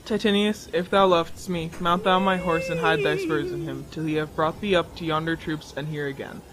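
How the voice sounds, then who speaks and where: A man's voice: a little high, fairly even intonation, brisk, crowd noise, close to an everyday voice. A man playing a proud, despairing Roman general in a declamatory radio Shakespeare drama. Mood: wary, commanding. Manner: declamatory verse delivery. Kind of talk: old-time radio Shakespeare acting.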